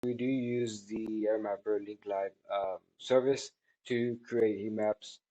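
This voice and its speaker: A man in his thirties giving a spoken product testimonial in a halting, measured way, with drawn-out words. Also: lowish voice, fairly even intonation, mellow, low-quality recording. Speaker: technology product reviewer. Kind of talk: spoken testimonial answering questions. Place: small indoor office room by a large window.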